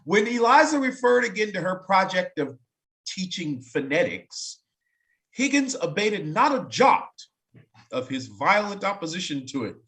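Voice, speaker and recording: Animated, higher-pitched man reading a story aloud. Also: a little slow, rough recording. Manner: expressive, wry, breaking off to comment. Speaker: narrator in a staged reading. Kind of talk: dramatic reading aloud with casual asides.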